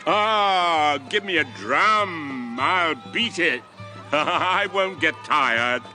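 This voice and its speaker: A man's voice: a little high, animated, drawn-out words, sharp tone, faint music behind, heavily acted. An actor playing an old former king and grandfather, a solemn, impassioned convert to a god, in a classical radio drama. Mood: ecstatic. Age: old, past retirement. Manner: solemn, impassioned, theatrical delivery.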